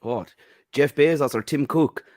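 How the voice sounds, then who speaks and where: A man's voice: mid-range voice, a little slow, darkish tone, dry recording, studio quality. An Irish man on a football podcast panel, giving firm opinions with lots of "um" and "you know". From Ireland. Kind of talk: casual football podcast chat.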